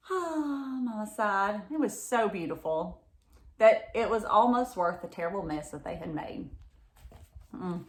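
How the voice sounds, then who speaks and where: A woman reads a picture book aloud for children in a very lively, unhurried way. She speaks expressively and turns to her listeners with asides, in a room with noticeable echo. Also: medium pitch. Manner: expressive, exclaiming, asks listeners questions. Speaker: read-aloud storyteller.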